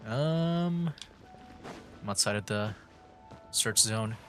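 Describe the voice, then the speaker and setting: A man's voice: fairly low pitch, expressive, drawn-out words, faint music behind. A man giving casual live game commentary as a streamer, rambling as he thinks aloud.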